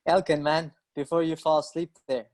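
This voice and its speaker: A man's voice: fairly high pitch, level tone, moderate pace, darkish tone. A man hosting a casual football podcast, asking questions and teasing his guests playfully. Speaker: podcast host. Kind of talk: casual interview chat.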